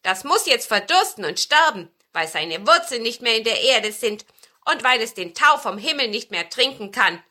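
Storybook role: kindly old talking broom, male, earnest and polite, bright, lively delivery from a female reader. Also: on the high side, normal speed, heavily acted. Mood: pleading.

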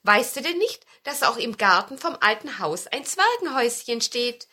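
Storybook role: helpful forest witch, eager and emphatic, raised bright voice played by a female reader. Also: on the high side, moderate pace, full character voice. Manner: animated, emphatic storybook delivery.

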